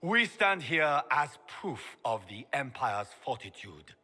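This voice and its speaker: A man playing a proud, warlike emperor in a video game, giving grand, pompous, deliberate speeches to his court. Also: mid-range voice, sing-song, pushed and bright, exaggerated voice. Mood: proud, resolute. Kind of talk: grand ceremonial oratory. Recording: long hall reverb, orchestral game score behind.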